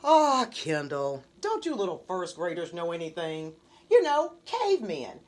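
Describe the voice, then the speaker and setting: A woman's voice: lowish voice, very animated, a little slow, darkish tone, voice pushed down. A woman voicing an eager, boastful young boy in a children's storybook, exclaiming like a know-it-all. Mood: cocky, teasing.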